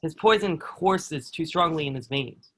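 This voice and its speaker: Man playing steadfast protective soldier, lightly high voice. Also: expressive, a little slow, fairly bright tone, a little hiss or hum. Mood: gentle, sympathetic. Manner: earnest, formal period phrasing. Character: protective, steadfast soldier, kind friend to condemned girl. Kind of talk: dramatic table read of historical play.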